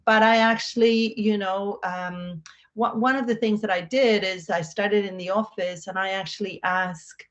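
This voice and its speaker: A woman's voice: mid-range voice, steady tone, normal speed, highs cut off. A middle-aged woman from Argentina with an Argentine Spanish accent, a real estate agent talking in a conversational interview, chatty and upbeat with lots of fillers and restarts.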